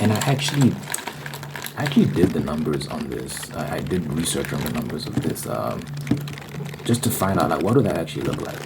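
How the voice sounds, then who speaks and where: A low-pitched speaker delivers a casual ASMR ramble full of opinion. The delivery is emphatic, circling back to restate key points. Noticeable noise runs behind the voice. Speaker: host. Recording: clearly noisy background.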